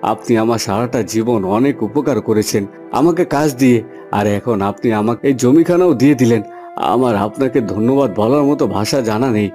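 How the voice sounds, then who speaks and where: A man's voice: mid-range voice, normal speed, warm tone, lightly acted. A man voicing a humble, hesitant poor farm labourer and father in a fairy-tale cartoon. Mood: grateful. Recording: music under the voice.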